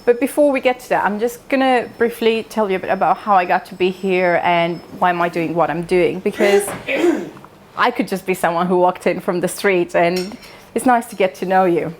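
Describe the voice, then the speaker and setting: A woman's voice: fairly low pitch, normal speed, slight background noise. Romanian-accented woman telling her personal story. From Transylvania, Romania. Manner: conversational, joking asides, questions to listeners. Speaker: speaker at a wellness talk. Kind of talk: informal public talk, personal storytelling.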